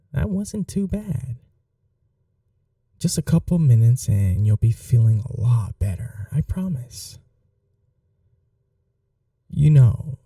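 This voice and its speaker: A man's voice: medium pitch, dark tone, no echo, clean studio sound, far from the mic. A young man playing a smug, chatty mad scientist college roommate in an intimate ASMR audio roleplay, coaxing and condescending in a casual way.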